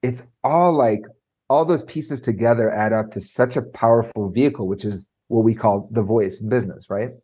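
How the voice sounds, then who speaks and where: A man coaching on presentation skills, talking in an animated way and filling his speech with "like" and "right?"; he has a trained high singing voice. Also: normal speed, breathy edge, muffled recording. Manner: animated, lots of fillers like "like" and "right?". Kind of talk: conversational podcast interview.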